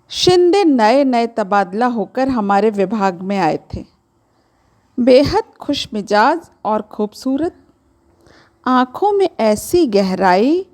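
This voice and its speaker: A woman with a fairly high voice narrates literary fiction in the first person. She takes her time, reading very slowly and expressively. Her delivery is conversational, as if addressing the listeners directly. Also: darkish tone. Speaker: storyteller reading a short story aloud.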